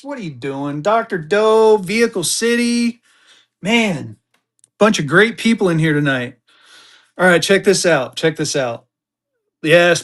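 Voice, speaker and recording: A fairly high-voiced man hosts a live auction stream in casual banter. He chats along with easy drawling asides, then jumps up in pitch and volume to cheer his viewers on. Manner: excitable hype bursts, chatty, drawling asides. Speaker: livestream auction seller.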